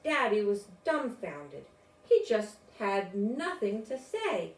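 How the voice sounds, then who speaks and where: An older woman reading a children's storybook aloud in a deliberate, expressive way, with informal asides. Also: mid-range voice. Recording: roomy, distant mic, faint noise.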